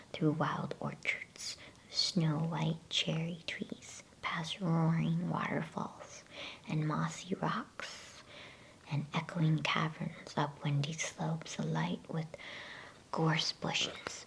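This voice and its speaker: A woman speaking in a soft, unvoiced whisper, gently and without hurry, as an ASMR bedtime story reader. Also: sits low, creaky, rough recording.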